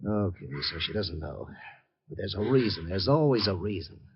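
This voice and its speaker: An actor playing a gruff, impatient police sergeant in a 1950s radio drama, brusque and demanding. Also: male voice, low voice, animated, normal speed, full character voice. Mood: gruff, businesslike. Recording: dull old radio recording.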